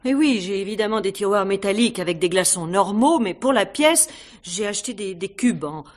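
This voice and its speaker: A woman's voice: mid-range voice, lively intonation, fairly quick, lightly acted. An actress playing an emotional theatre woman in love in a radio mystery, breathless and stammering in her manner. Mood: earnest, realising. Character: distraught theatre woman in love, horrified and pleading. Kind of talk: French radio mystery drama acting.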